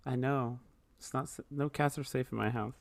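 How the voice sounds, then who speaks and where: Casual male podcaster, mid-pitched, recorded very dry. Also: steady tone, normal speed, vocal fry, no room sound. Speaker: podcast host and player. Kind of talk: casual chat.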